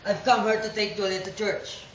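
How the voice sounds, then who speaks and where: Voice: mid-range voice, little pitch movement, fairly quick, dull audio, slight background noise, close to an everyday voice. A performer playing an earnest, doting young suitor, in an amateur stage reading. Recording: echoey hall, mic far off.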